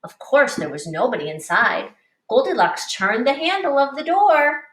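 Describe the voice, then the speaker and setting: A woman teacher reading a picture book aloud to young children in an expressive, instructive way, pausing to explain and addressing them directly. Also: high voice. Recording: roomy, echoing, distant microphone.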